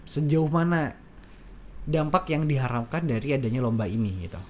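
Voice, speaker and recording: A man's voice: a little high, moderate pace, slight background noise. A man with a Madurese accent hosting a casual radio interview in a chatty, affirming way. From Madura, Indonesia. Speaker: radio talk show host.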